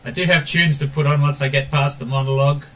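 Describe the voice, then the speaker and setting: A man's voice: on the high side, fairly even intonation, fast, a bit bright. An Australian man streaming a game, chatting casually and calling everyone mate while he reads viewer messages aloud. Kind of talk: casual gameplay stream chat.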